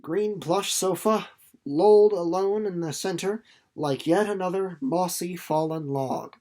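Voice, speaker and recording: A young man with a fairly high voice reads a chapter aloud and talks it over. His delivery is casual and chatty, as if thinking out loud for his listeners. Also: a little slow. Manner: informal, chatty, musing aloud. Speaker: chapter reader and commentator. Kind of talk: storybook read-aloud with casual commentary.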